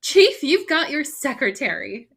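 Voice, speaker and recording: A woman's voice: high-pitched, very animated, measured pace, creaky, fairly bright tone, exaggerated voice. A woman playing an eager, plucky young female secretary in a live radio mystery reading. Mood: thrilled. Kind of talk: live old-time radio drama reading.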